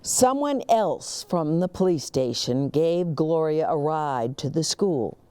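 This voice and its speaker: A woman hosting storytime, reading a children's picture book aloud expressively, with dramatic pauses and emphasis. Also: sits low, lively intonation, mellow. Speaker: children's storytime reader and host.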